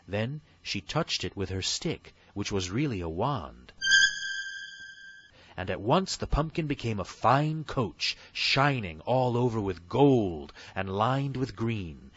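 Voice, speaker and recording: A man's voice: lowish voice, expressive, measured pace, a bit bright, husky, muffled recording. A man reading a fairy tale as an audiobook narrator, in a scripted bedtime story style.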